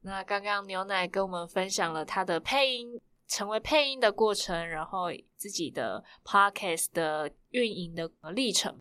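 A woman's voice: mid-range voice, animated, moderate pace, few pauses, bright, forceful tone. A woman asking questions as the host of a casual podcast interview.

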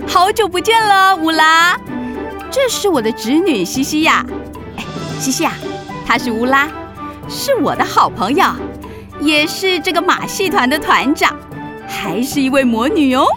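A woman voicing a lively, affectionate, teasing witch aunt in a children's radio drama. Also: sits high, measured pace, over music. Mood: friendly. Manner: playful, expressive.

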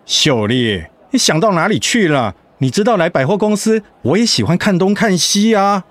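An actor playing a devoted, teasing middle-aged husband in a radio drama, with a Taiwanese accent and a coaxing way of talking that easily gets flustered. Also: male voice, high-pitched, sing-song, moderate pace, no echo. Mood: soothing. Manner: coaxing, stammering when cornered.

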